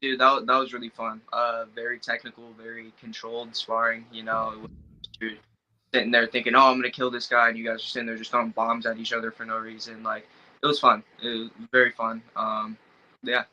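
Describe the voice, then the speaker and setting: A young man, a fighter guest on a podcast, talking casually and haltingly with many fillers. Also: mid-range voice, completely flat delivery, pushed and bright, dull audio. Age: young adult. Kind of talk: casual interview chat.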